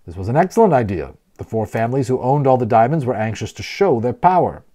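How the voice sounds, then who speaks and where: A man reading a children's novel aloud as a storyteller, expressively and with deliberate emphasis on key words. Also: medium pitch, big pitch swings, warm tone. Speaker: audiobook narrator and storyteller.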